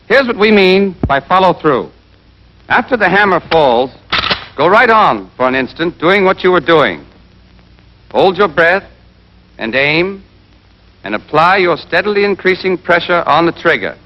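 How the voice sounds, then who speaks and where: A man playing a brisk, exacting American army rifle instructor in a scripted 1940s training film, drilling and quizzing with emphasis. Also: high voice. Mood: brisk, instructive. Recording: dull band-limited 1940s film soundtrack.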